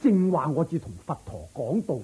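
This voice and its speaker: A pompous, covetous old-world king in a Cantonese radio drama from Hong Kong. His husky, mellow voice swoops dramatically as he muses and exclaims. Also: fairly high pitch, big pitch swings, exaggerated voice. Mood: disgusted outburst. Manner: theatrical, drawn-out musing and exclaiming. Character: covetous, pompous, excitable king, adult man. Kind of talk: Buddhist story radio drama acting.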